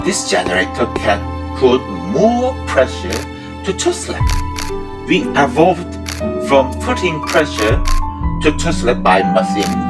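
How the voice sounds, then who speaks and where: An actor playing an enthusiastic research team leader in an amateur audio drama, speaking halting, stilted English. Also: medium pitch. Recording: music under the voice.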